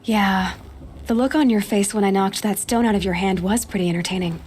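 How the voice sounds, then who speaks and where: Actress as defiant young outcast huntress. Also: female voice, medium pitch, fairly quick, a little hiss or hum, natural voice. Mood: defiant, scornful. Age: young adult. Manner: sharp-tongued, self-assured. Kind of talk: video game voice acting.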